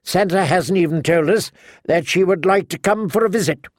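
A man voicing a sharp-tongued, imperious elderly noblewoman in an audiobook, brisk and commanding. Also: high-pitched, no echo, clean studio sound, pitched above the performer's own voice. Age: older.

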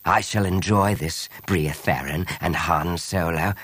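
Man voicing a slow, gloating alien priest villain. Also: sits low, fairly bright tone, slightly rough, dry recording, heavily acted. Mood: gloating menace. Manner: slow, drawn-out, menacing delivery.

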